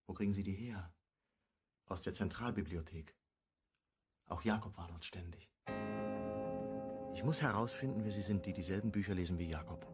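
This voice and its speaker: An actor playing a hunted, wry amateur sleuth, narrating and acting in a crime radio play in a clipped, brooding way. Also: male voice, normal speed, long pauses, loud music behind the voice, close to an everyday voice. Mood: anxious brooding. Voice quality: low, husky. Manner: clipped, brooding, first-person telling.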